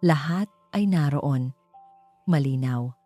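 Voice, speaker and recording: Female Filipino narrator for dramatic fiction; deep, mellow delivery over faint music. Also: slow pace. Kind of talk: scripted dramatic story narration.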